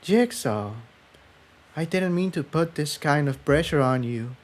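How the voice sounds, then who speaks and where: A man voicing a gentle, shy young female pony in a soft, hesitant storybook voice. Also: on the high side, expressive, a little slow, pitched above the performer's own voice. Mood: gentle, understanding.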